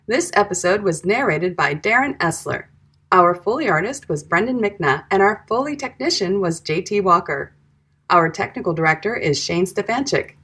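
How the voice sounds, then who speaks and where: Casting: female announcer, lowish husky voice, animated intonation, scripted credit reads.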